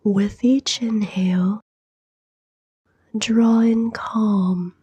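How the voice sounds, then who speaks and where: A soft-spoken woman guides a sleep meditation in a very breathy whisper. Her tone is mellow and soothing, and she moves extremely slowly, leaving long pauses between short phrases. The sound is close and dry. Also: mid-range voice, steady tone, no echo, lo-fi sound.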